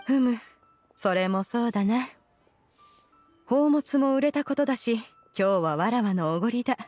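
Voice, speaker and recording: Husky, dignified ancient immortal. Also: female voice, a little high, animated, normal speed, a little mellow, dry recording, faint music behind. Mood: composed, generous. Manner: formal, stately, archaic. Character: ancient female adeptus, dignified, aloof, archaic. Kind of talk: Japanese anime game voice acting.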